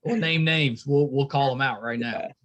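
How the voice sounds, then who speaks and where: A man's voice: mid-range voice, normal speed, fairly bright tone. A man hosting a casual interview, chatting as he asks questions. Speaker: podcast host interviewing a band.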